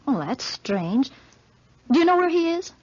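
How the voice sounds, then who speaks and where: A woman's voice: high voice, sing-song, slow, full character voice. An actress in a 1940s radio drama playing a sweet, naive, well-bred young lady with a polite, girlish manner. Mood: hurt, worried. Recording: muffled 1940s radio recording.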